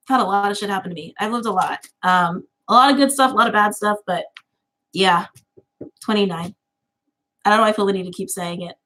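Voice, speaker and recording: Woman, late twenties, livestream host; relaxed chatty delivery, filler-heavy, laughs easily, mid-range pitch. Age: 20s. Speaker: tarot channel host. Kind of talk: casual livestream chat.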